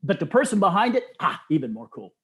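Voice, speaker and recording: A man's voice: high-pitched, fast. A middle-aged man talking casually and enthusiastically as an interview guest, telling stories and quoting others with emphasis. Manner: animated storyteller, often quoting others with emphasis.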